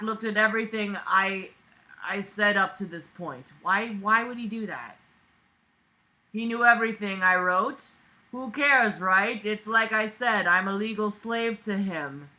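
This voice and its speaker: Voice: medium pitch, bright, forceful tone. A reader going through a book aloud with sarcastic running commentary, exasperated, blunt and prone to swearing.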